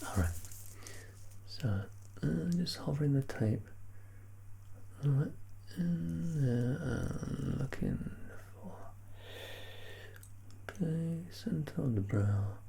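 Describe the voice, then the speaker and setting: An ASMR performer speaking in a soft, unhurried murmur that slips into breathy whispers, reassuring and gently playful in a personal-attention roleplay. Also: mid-range voice, expressive, a little hiss or hum, poor audio. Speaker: ASMR performer playing a measurer.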